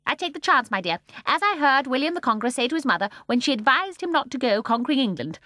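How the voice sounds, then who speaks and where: A woman voicing a tiny, ancient, tyrannical old shopkeeper woman with a shrill, squeaky, cackling voice, chattering gleefully one moment and turning soft and fierce the next. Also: very animated, quick pace, no echo, studio quality, full character voice. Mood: gleeful, chatty.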